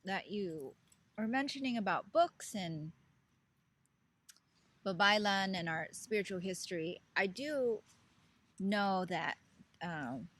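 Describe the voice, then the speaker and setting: A woman co-hosting a casual book club podcast, relaxed and affirming, speaking bluntly and repeating her points. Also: medium pitch, slow, pauses often.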